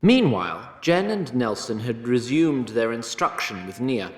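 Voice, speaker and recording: A man narrating a scripted fantasy story in an expressive storytelling manner, with a roomy sound on his voice. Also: mid-range voice, a little slow. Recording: roomy echo on voice.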